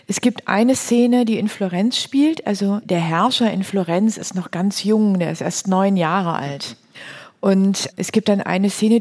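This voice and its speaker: A woman's voice: medium pitch, a little mellow. Informal woman retelling in conversation. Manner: informal, colloquial, plain-spoken.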